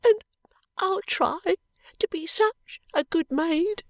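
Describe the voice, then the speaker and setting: A woman voicing a timid little girl maid who speaks softly, politely and breathlessly, close to tears. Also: high-pitched, takes it slowly, no echo, pitched above the performer's own voice, full character voice. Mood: tearful, thankful. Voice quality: soft, whispery.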